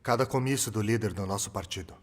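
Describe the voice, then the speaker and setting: A man's voice: on the low side, fast talker, in a large hall, close to an everyday voice. An actor dubbing a tight-lipped bitter man in his forties, giving terse, reluctant answers in a courtroom drama. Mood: guarded. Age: 40s. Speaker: witness in a trial. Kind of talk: dubbed film courtroom drama.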